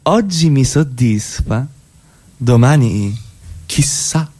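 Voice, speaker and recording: A man acting in a radio play as a suave, evasive middle-class husband who needles with halting, repeated, pointed questions. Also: mid-range voice, expressive, dark tone. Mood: cool, condescending.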